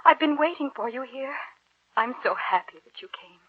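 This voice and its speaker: Alluring young maiden, breathy, highish, gently teasing, old radio drama, dull recording. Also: female voice, animated, normal speed. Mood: tender, inviting. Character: alluring young woman, tender, mysterious, teasing. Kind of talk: 1940s radio drama acting. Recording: muffled 1940s radio recording.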